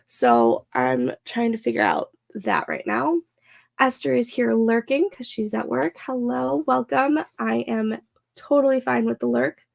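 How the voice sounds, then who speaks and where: A young woman with a stuffed-up, congested nose, chatting casually and slowly while hosting a live stream of writing sprints, with many fillers. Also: a little high, animated, creaky. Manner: slow, rambling, lots of fillers.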